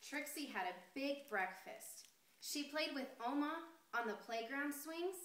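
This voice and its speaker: Bright-voiced woman reading a children's story, unhurried. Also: sits high, lively intonation, a little hiss or hum. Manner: deliberate, addressing young listeners with questions. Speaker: storyteller reading a picture book aloud. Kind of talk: expressive children's story read-aloud. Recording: roomy, echoey sound.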